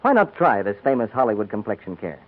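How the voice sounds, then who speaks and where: Fast, animated American radio announcer, male. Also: lowish voice. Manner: polished, emphatic salesmanship. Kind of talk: 1940s radio advertising pitch. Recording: tinny 1940s radio recording.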